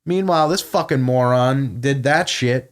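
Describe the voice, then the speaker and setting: A man's voice: medium pitch, moderate pace. A man in casual podcast chat, ranting and swearing heavily. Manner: profane, ranting. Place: home podcast studio.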